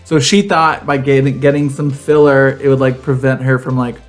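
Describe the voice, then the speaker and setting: A man's voice: medium pitch, fairly even intonation, normal speed. A man chats casually as a podcast co-host, with a campy, sassy delivery. His voice sits in a medium register. Faint music plays behind him. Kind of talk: casual, chatty banter. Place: home garage set up as a studio.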